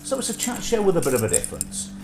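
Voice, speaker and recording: Nasal man, lively rambling host. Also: fairly high pitch, racing, roomy sound, over music. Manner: rambling with frequent filler hesitations. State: nasal from sinusitis. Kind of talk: casual livestream chat.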